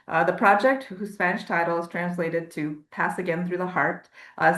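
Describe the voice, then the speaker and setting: A woman's voice: on the low side, fairly bright tone. Woman host in an echoey room. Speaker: host, museum curator. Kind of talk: online artist interview, informal introduction. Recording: roomy, echoey sound.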